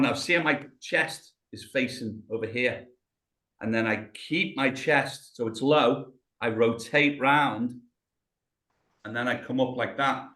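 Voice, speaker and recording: A British man in his fifties coaching a client through floor exercises on a video call, calm and encouraging, step by step. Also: medium pitch. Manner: encouraging, talks a client through each movement step by step, says mate. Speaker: rehab coach. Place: home room, on the floor. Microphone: video call webcam.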